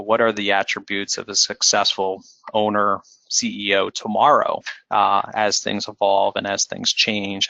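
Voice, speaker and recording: Guy with a low gravelly voice giving a webinar talk, lots of ums, dry muffled audio. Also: bright, forceful tone, dry recording. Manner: measured, explanatory, with frequent fillers and restarts. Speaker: financial advisor presenting. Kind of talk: webinar presentation.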